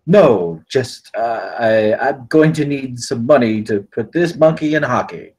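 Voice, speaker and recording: An actor playing a gruff, irritable working father at a script table read, stammering and curt. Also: medium pitch, expressive, measured pace, a little mellow. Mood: frustrated.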